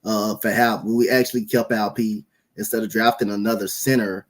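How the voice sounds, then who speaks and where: Laid-back low-voiced podcast host. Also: male voice, brisk. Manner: relaxed, laid-back, rambling opinions. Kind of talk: casual sports podcast chat.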